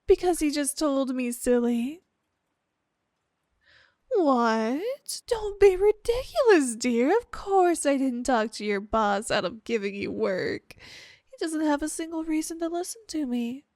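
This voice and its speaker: A woman playing a sweet, possessive, obsessed wife with quiet menace, cooing and coaxing in a roleplay ASMR style. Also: high-pitched, sing-song, dry recording, studio quality. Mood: falsely innocent. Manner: cooing, saccharine, coaxing, drawn-out delivery.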